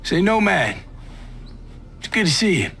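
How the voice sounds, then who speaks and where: An actor playing a breezy, cynical special-forces soldier in a video game, with halting, teasing talk. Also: male voice, sits high, big pitch swings, creaky, bright, forceful tone, exaggerated voice. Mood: friendly. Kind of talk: naturalistic video game acting.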